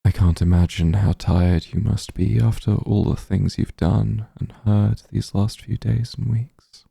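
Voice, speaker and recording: A man plays a softly dominant demon lord in a comforting ASMR roleplay. His voice is low and extremely dark, with heavy husky breathiness. He coaxes and dotes possessively, tender and unhurried, right up at the mic. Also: steady tone, no echo, clean studio sound, heavily acted. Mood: tender, reassuring. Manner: tender, coaxing, teasing, hushed. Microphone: close microphone, dry.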